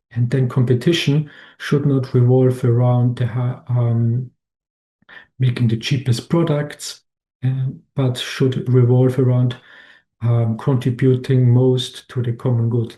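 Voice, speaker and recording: Austrian guy, presenting slides on a webinar, mellow voice, keeps restarting his sentences. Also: medium pitch, little pitch movement. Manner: halting, many restarts and fillers.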